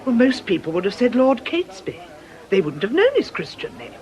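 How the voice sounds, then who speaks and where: A woman playing a shrewd, observant nun in a radio drama, brisk, wry and confiding. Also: a little high, sing-song, darkish tone. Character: shrewd, lively, observant nun, amateur sleuth. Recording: crowd bustle behind the voice.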